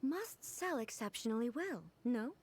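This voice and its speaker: Actress as a regal, high-voiced female shogun. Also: expressive, a little mellow, slight background noise, close to an everyday voice. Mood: calm curiosity. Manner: composed, formal, measured. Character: regal, calm female shogun ruler, curious and gently commanding. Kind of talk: video game voice acting.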